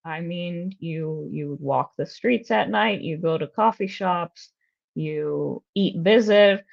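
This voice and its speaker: Low-voiced woman, dull lo-fi sound. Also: slow, creaky. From United States. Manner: reflective, steady, with frequent fillers. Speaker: interview guest, researcher and activist. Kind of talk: personal conversational interview.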